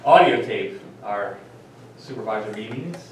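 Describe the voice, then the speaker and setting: A man leading a local board meeting in a relaxed, chatty way, his pitch rising and falling a lot as he talks. He sits well back from a tabletop recorder in a very echoey room. A steady background hiss runs under everything. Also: measured pace, far from the mic. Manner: easygoing, laughs often, hesitant fillers. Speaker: township supervisor chairing meeting. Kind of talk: casual meeting discussion.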